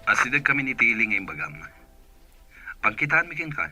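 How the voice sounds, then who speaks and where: An actor playing an earnest, courteous boss in an Ilocano radio drama, with a husky voice and an Ilocano accent. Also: male voice, medium pitch, sing-song, fairly quick, music in the background, natural voice.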